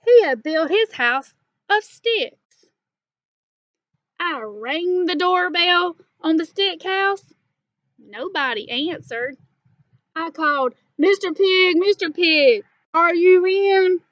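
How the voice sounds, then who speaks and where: A woman playing a chatty, self-excusing male wolf, telling a storybook tale in a folksy way with dramatic pauses. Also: very high voice, animated, no room sound, highs cut off, full character voice. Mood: mock-innocent, chatty.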